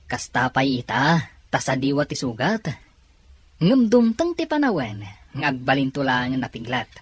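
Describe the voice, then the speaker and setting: A woman playing a frank, consoling, brotherly confidant with a husky voice in a drama. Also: rumbling low, sing-song, measured pace, a little hiss or hum, heavily acted. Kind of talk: acted dialogue drama.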